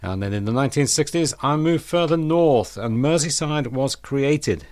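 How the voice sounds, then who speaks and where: A man's voice: mid-range voice, expressive, normal speed, darkish tone. An older man, presenting a radio show as DJ and chatting in a rambling, reminiscing way. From northwest England. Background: music under the talk.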